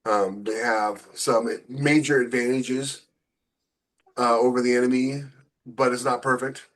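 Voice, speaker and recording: A man's voice: mid-range voice, fairly even intonation, unhurried. A man talks in an informal podcast style, as an author explaining his own work. He thinks aloud, stopping and restarting as he builds his points, often repeating a phrase before moving on. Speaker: podcast co-host and novelist. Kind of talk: casual talk about own books.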